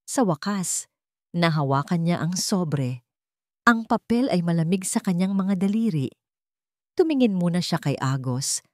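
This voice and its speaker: Low-voiced woman narrating a drama, dry sound. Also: a little mellow, dry recording. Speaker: story narrator. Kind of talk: dramatic scripted story narration.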